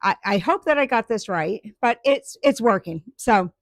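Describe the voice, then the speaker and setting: A woman's voice: fairly high pitch, expressive, moderate pace, no room sound, studio quality. A woman chatting live with viewers about her woodturning, rambling with lots of ums and restarts. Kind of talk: casual live-stream chat.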